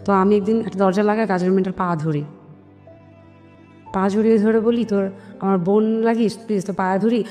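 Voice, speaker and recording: A woman's voice: medium pitch, fairly even intonation, fairly quick, long pauses, warm tone. A woman with a Bangladeshi accent telling her life story in an interview, speaking earnestly and emphatically and acting out remembered dialogue. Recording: long reverb, music behind. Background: music.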